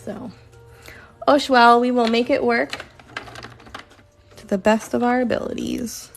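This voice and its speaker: Woman, casual live-stream chatter, slight vocal fry, rambling and relaxed, faint music underneath. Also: on the high side, measured pace. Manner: chatty, rambling, lots of fillers and likes; says she is relaxed, talks softly at times. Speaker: dot art painter streaming live. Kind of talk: casual live-stream chat while painting.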